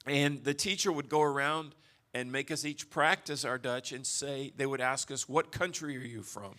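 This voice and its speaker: A man's voice: mid-range voice, measured pace, bright, forceful tone. A man from Texas preaching a sermon in short phrases for an interpreter, folksy and chuckling, with frequent restarts. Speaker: pastor. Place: church auditorium.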